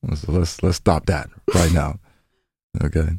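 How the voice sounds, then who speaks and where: A man's voice: extremely low pitch, little pitch movement, a little slow, creaky, mellow, slightly rough, dry recording, studio quality. A man co-hosting a podcast in casual, teasing banter, often repeating himself. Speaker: podcast co-host.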